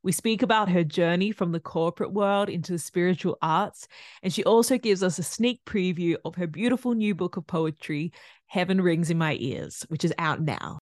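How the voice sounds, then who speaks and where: A woman's voice: on the low side, moderate pace, no echo, studio quality. A woman hosting a podcast, reading a scripted introduction and then chatting casually with her guest. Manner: warm scripted intro then relaxed chat with fillers. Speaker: podcast host. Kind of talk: casual interview chat.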